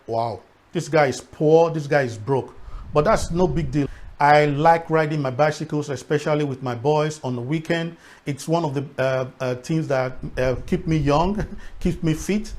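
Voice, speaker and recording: Nigerian guy, edo speaker, kinda high voice, vlogging his thoughts and repeating words to make the point. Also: a little mellow. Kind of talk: reflective talk to viewers.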